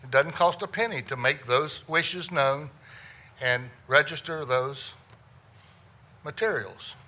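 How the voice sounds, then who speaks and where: A middle-aged man with a loud, resonant voice, giving a humorous talk as a funeral director. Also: a little high, slow pace. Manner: loud, folksy storyteller with self-deprecating jokes. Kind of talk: humorous presentation to a lawyers' audience.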